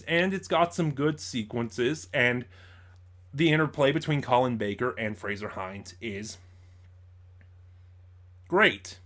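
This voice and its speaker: Man giving a halting, informal review. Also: mid-range voice, fairly bright tone. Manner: halting, thinking aloud, self-correcting. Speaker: audio drama reviewer.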